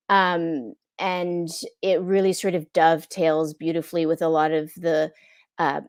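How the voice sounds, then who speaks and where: A woman's voice: low voice, gravelly, no room sound, studio quality. A middle-aged American woman giving an earnest, thoughtful answer on a video-call panel, often checking for agreement. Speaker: film impact producer, guest. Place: indoor room, on a video call.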